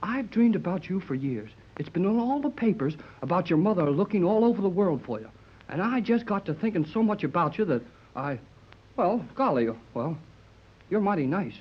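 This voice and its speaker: A man's voice: high-pitched, sing-song, moderate pace, breathy edge, exaggerated voice. An actor playing an eager, brash American teenage boy in a 1930s radio serial, slangy and earnest. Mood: earnest, urgent. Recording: muffled, worn 1930s radio recording.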